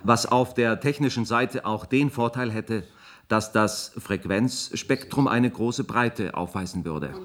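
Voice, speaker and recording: A man's voice: fairly low pitch, takes it slowly, fairly bright tone. A man playing a calculating research professor in a science fiction radio drama, explaining things in a precise, detached lecturing manner. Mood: coolly persuasive. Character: clinical, calculating male research professor, coolly scheming.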